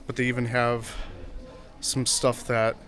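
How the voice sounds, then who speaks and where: A man with an average-pitched voice narrates a casual travel vlog. He is laid-back and plain-spoken, making up his commentary as he walks around outside. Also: completely flat delivery, measured pace, a bit bright. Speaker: travel vlogger. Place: outdoors in old town streets.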